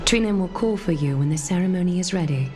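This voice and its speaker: An actress playing a composed, solemn noblewoman and guide in a video game, speaking formally and gravely. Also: lowish voice, animated, fast talker, natural voice. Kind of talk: scripted video game dialogue. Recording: music behind the voice. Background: game music.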